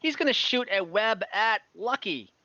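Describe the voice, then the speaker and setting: A game master runs an online tabletop session at a slow, hesitant pace, thinking aloud while improvising the action. The voice is bright and forward. The recording sounds slightly dull. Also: mid-range voice, lively intonation. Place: room, online voice call. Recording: muffled, echoey room.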